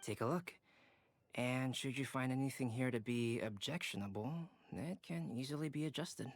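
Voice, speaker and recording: A man playing a calm, courteous young scholar in an anime-style game, speaking formally and with measured care. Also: mid-range voice, a little hiss or hum, natural voice. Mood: apologetic, composed.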